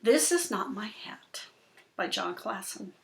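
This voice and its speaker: A woman's voice: mid-range voice, animated, slow, gravelly, a little hiss or hum. A woman teacher presenting a read-aloud lesson for young children, explaining and addressing them directly with questions. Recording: roomy, echoing indoor sound.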